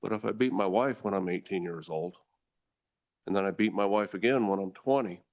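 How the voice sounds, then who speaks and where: An American man, a district attorney, giving formal, forceful testimony to a legislative committee and addressing the room as ladies and gentlemen. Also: low voice, a little fast.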